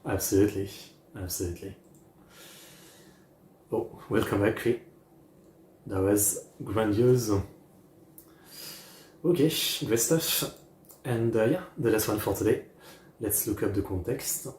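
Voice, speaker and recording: A man streamer giving casual live reaction commentary, talking haltingly in short phrases with pauses. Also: lowish voice, darkish tone, slight background noise. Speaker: streamer reacting to music videos. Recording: roomy echo, music playing underneath. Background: music from the videos.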